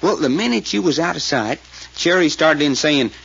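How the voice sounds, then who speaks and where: An actor playing a folksy, excitable Texan detective sidekick in a 1940s radio serial. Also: male voice, fairly high pitch, normal speed, creaky, slightly rough, heavily acted. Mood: earnest recounting. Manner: folksy, ungrammatical drawl. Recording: dull old radio serial recording.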